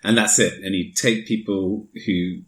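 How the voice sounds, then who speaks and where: A man hosts a podcast in relaxed, casual chat, often pausing on fillers. His voice sits fairly low, and the room gives it a clear echo. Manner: relaxed, hesitant, many fillers. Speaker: podcast host. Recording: roomy echo.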